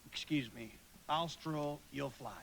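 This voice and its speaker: A worn-down, sardonic small-town family man in a live radio drama. He has a fairly high voice for a man, stammers wryly, and comes with slight background noise. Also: a little slow, creaky. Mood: bewildered, wry. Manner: stammering, wry, weary. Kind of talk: live radio play acting.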